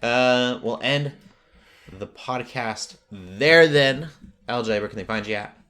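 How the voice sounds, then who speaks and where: A man hosting a podcast, chatting casually in a low-key, slightly hesitant way. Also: slow pace, pushed and bright. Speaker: podcast host. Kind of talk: casual chat.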